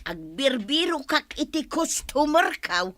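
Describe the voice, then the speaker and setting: A woman's voice: high voice, sing-song, measured pace, a bit bright, full character voice. A woman playing a chatty, teasing village manicurist who laughs often, with an Ilocano accent, in audio drama style. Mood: chatty, boastful.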